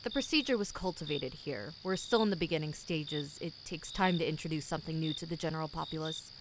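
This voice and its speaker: A woman's voice: sits low, quick pace, background noise, lightly acted. A woman playing a cold, condescending company boss in an audio drama, formal, smug and menacing. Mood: smooth, businesslike. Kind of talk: scripted audio drama acting.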